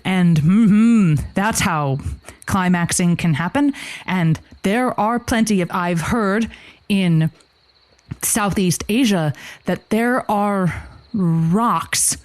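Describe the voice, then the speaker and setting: A woman playing a supportive, flowery advice radio host in an improvised comedy show, with a theatrical, deliberate delivery. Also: medium pitch.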